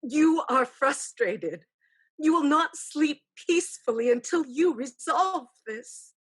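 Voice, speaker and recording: A woman acting a solemn ghostly aunt who gives measured, formal counsel in a staged play reading. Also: sits high, animated. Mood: solemn, counselling. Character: ghostly aunt spirit, solemn, counselling, haunted by lost sister.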